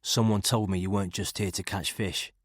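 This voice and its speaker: A hard, curt ex-soldier, voiced by a male narrator, speaking in low, husky, clipped lines with no warmth to spare. The read is dry and close to the mic. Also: fairly even intonation, brisk, dry recording. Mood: terse. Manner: curt, terse.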